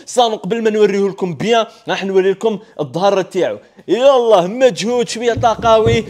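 A young Algerian man talks at a rapid clip in a high, bright-edged voice, his Arabic peppered with French terms. He pitches products with eager salesman energy while walking through a big tiled showroom. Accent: Algerian Arabic with French words. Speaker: furniture showroom presenter. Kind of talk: sales walkthrough video. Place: large indoor furniture showroom with tiled floor.

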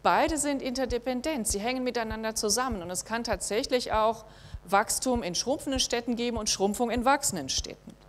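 German woman lecturing with halting fillers. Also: a little high. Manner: measured, thinking aloud with frequent fillers. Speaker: university lecturer. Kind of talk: academic lecture with slides. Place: lecture hall.

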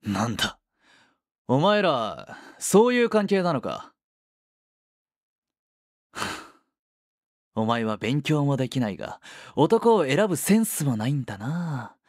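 Guy doing a smug teasing male teacher for a romance audio drama, real animated intonation, no room sound. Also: mid-range voice, takes it slowly, pauses often, no room sound, studio quality, full character voice. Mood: mocking. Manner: condescending, teasing. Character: smug, teasing, possessive adult male schoolteacher.

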